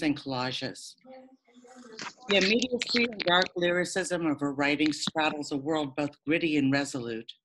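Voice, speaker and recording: A woman's voice: fairly low pitch, a bit bright, rough recording. Upbeat woman editor introducing an author. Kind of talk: reading a prepared introduction.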